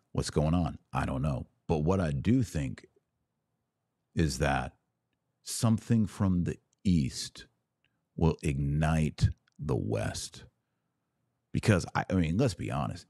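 Very deep-voiced man, casual podcast host. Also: a little mellow, dry recording, studio quality. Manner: colloquial, musing, punchy short repeated phrases. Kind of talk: casual religious commentary monologue.

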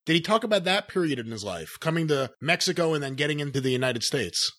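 A man's voice: on the high side, animated, quick pace, a bit bright. A man interviewing a guest and asking curious follow-up questions.

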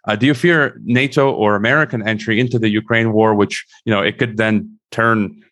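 A man's voice: on the low side, steady tone, moderate pace, fairly bright tone. A man hosting an interview from a room at home, often repeating words and restarting his sentences. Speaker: interview host. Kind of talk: interview discussion.

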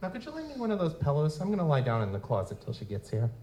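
A man's voice: a little high, huge pitch swings, very fast, heavy background noise. A man playing a streetwise, cheeky lutin goblin private investigator, narrating and talking in a casual, wisecracking noir style for an audio drama. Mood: cheeky, boastful. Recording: music behind, live stage performance.

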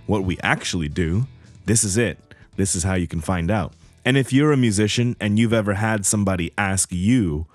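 A man hosting his own podcast, talking casually with a hoarse voice, rambling with lots of fillers and self-corrections. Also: deep voice, a little mellow, no echo, studio quality. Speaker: podcast host. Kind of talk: casual solo podcast intro.

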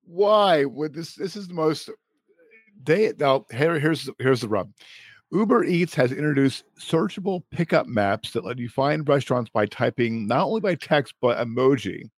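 A man's voice: fairly high pitch, expressive, normal speed, dry recording. A man co-hosting a casual talk show, breaking into laughter again and again. He stumbles, trails off and restarts his thoughts, and his voice sits close and dry on the microphone. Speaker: podcast co-host. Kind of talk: casual chat.

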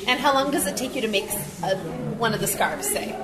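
A woman's voice: medium pitch, expressive, a little fast. A woman from Canada, hosting casual interviews at an outdoor festival, bubbly and laughing often. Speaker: podcast host interviewing. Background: crowd chatter.

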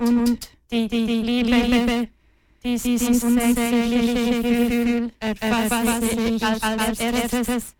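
A woman acts as an artificial intelligence obsessed with order in a radio drama. The voice is very flat, smooth and slow, haltingly repeating words. Music plays faintly behind it. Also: fairly high pitch, dry recording, low-quality recording. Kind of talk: scripted radio drama acting.

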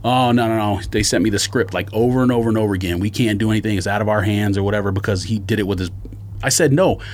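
A man's voice: on the low side, fast. A man telling a story as a casual podcast rant, slangy and exasperated, acting out both sides of exchanges. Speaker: sneaker podcast co-host.

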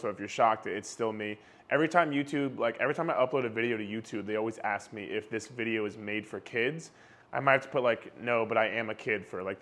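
Slightly hoarse young man chatting to camera. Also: on the low side, fairly bright tone. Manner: chatty, filler-heavy, repeats words when restarting. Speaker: fitness video creator. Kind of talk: casual talking-to-camera explainer.

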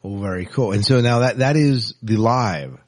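A man's voice: lowish voice, moderate pace, a little mellow. A man hosting a podcast interview, asking questions and answering with short affirmations. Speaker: podcast host. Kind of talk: interviewing a guest.

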